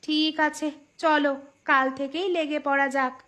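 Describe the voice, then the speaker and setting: A woman's voice: high-pitched, steady tone, measured pace, pushed and bright. A woman voicing a supportive, feisty village wife in a cartoon, speaking in an emphatic, pointed way. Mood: eager. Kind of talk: animated moral story dialogue.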